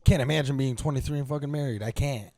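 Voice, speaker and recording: A man's voice: mid-range voice, quick pace, mellow, no room sound. A man in his late twenties co-hosting a casual podcast, talking in a laid-back way and swearing casually. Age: late 20s. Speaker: podcast co-host. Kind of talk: casual chat.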